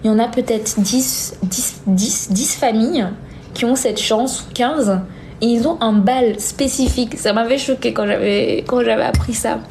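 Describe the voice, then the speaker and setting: A woman's voice: fairly high pitch, measured pace, gravelly, a little mellow, slight background noise, rough recording. A young woman hosting a live stream, chatting casually as she reads out and answers viewer comments in an informal, hesitant way, often repeating herself. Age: young adult.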